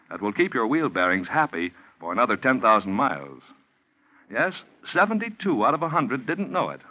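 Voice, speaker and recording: A man working as a 1940s radio announcer, reading a scripted introduction and advertisement in a polished, persuasive way. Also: on the low side. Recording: muffled 1940s radio recording.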